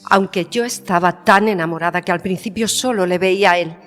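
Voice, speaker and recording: Actress doing a proud spanish queen, kinda low, frank and measured, big echo, strings behind. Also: soft background music, natural voice. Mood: wounded, guarded. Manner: formal, measured, pointed. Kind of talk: dramatised historical interview. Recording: long hall reverb.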